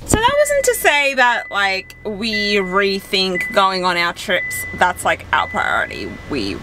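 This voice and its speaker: A woman's voice: mid-range voice, wildly swooping pitch, takes it slowly, background noise. A woman, chatting casually to a handheld vlog camera. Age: 20s. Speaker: travel vlogger. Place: outdoors on a forest hiking trail and summit, later in a car. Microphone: handheld camera at arm's length.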